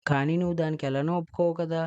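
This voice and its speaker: A man's voice: fairly high pitch, steady tone, fast talker, a little mellow, clean tone, lo-fi sound, close to an everyday voice. A man voicing a kind, reassuring father in a cartoon story.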